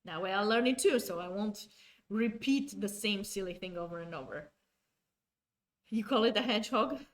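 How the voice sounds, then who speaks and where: A woman's voice: medium pitch, normal speed. Chatty woman commentator. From Romania. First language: Romanian. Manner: chatty, self-correcting, talks to chat. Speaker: chess commentator. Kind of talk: live-stream game commentary.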